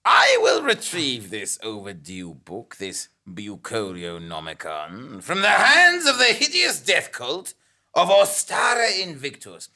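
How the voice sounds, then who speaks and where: A man's voice: mid-range voice, wildly swooping pitch, slow pace, pushed and bright, exaggerated voice. A man playing a melodramatic, lovelorn, disgraced male clerk in an audio comedy drama, theatrical and grandiose. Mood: defiant. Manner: theatrical, grandiose, swooping between murmurs and cries.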